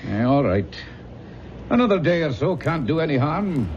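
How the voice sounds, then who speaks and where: An actor playing a concerned family doctor, blunt and authoritative, in an old-time radio drama. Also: male voice, a little high, big pitch swings, moderate pace, darkish tone. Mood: brisk, cautioning. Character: brisk, concerned male country family doctor. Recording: muffled, faintly noisy old radio recording.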